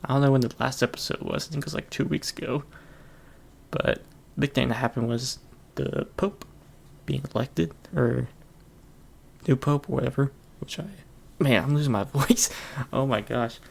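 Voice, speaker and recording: A man's voice: mid-range voice, normal speed, gravelly, a little mellow. A man hosting his own podcast alone, rambling casually with lots of fillers and frequent chuckles. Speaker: podcast host. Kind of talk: casual solo podcast chat.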